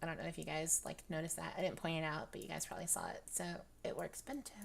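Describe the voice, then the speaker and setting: A young woman with a dry, raspy voice, chatting casually through a product review with lots of ums and restarts. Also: deep voice, level tone, quick pace, slight background noise. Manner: rambling, many ums, laughs often. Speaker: virtual-world fashion vlogger.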